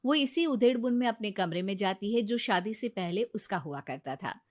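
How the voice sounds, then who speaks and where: A woman's voice: mid-range voice, animated, normal speed, darkish tone. A woman reading scripted narration as a storyteller.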